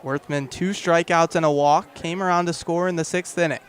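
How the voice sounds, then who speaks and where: A young man calls baseball live on the radio in a high-pitched voice. He speaks in short, clipped phrases and builds to excited calls as the play develops. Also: moderate pace. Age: young adult. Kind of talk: live radio sports commentary.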